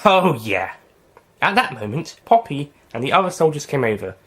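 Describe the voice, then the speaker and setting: A man's voice: fairly high pitch, very animated, a little fast, fairly bright tone. A man narrating his own fan audio story in a plain storybook reading. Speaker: writer and reader.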